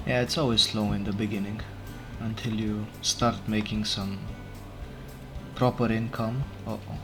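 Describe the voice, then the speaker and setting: A man with a slightly low voice, talking slowly and thinking out loud as he plays. English is not his first language, and he often stops to correct himself. Game music runs clearly underneath his voice. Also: flat delivery. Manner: unhurried, thinking aloud, self-correcting. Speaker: let's play gamer. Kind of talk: casual gameplay commentary. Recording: game music playing behind.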